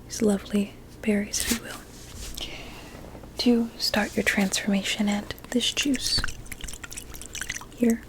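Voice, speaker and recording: A woman's voice: medium pitch, close to an everyday voice. Woman acting a whispering, sweetly sinister evil doctor. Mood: calmly clinical. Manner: slow, deliberate, soothing and formal. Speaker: ASMR roleplay performer. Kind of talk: whispered ASMR medical roleplay.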